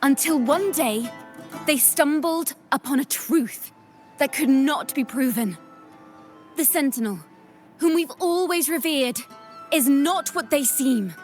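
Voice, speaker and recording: High-voiced theatrical young bard. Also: female voice, expressive. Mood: solemn, stirring. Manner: theatrical, measured, poetic delivery. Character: theatrical young female bard, defiant, playful storyteller. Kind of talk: dramatic storytelling in game voice acting. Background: music.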